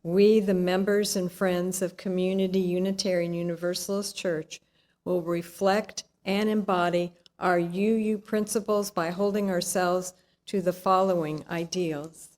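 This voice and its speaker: A woman's voice: lowish voice, steady tone, unhurried, a little mellow. A woman from a congregation reading a written statement aloud in a formal style.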